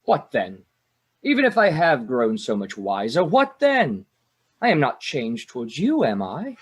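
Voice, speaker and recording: A man's voice: mid-range voice, wildly swooping pitch, unhurried, breathy edge, exaggerated voice. An actor playing a proud, defensive young Victorian man in a theatrical radio drama.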